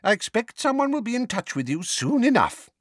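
A man acts out a fretful, fierce old lady from a storybook. The voice is very high and shrill, swooping wildly as she fusses and scolds. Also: very animated, a little fast, dry recording, studio quality, exaggerated voice. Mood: flustered, impatient. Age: older. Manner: shrill, exclamatory, fussing. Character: flustered, scolding, indignant elderly woman, fretful and fierce.

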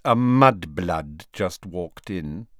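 A man's voice: low-pitched, expressive, very slow, fairly bright tone, dry recording, studio quality, heavily acted. A man voicing an arrogant, spiteful teenage boy with a sneering drawl in an audiobook. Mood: sneering contempt.

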